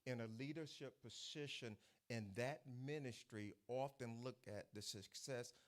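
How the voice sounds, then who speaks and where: A man with an American accent, a bishop, breaking in to add measured, affirming pastoral advice during a ministers' session. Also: medium pitch. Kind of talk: interjecting commentary. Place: church hall, on a microphone.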